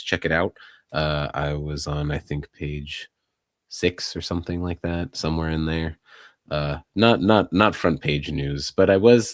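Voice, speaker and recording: Husky-voiced man, very deep, dry sound. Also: dry recording. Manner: laid-back, rambling with self-deprecating jokes. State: hoarse, recovering from illness. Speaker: podcast co-host, local news writer. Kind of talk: casual podcast chat.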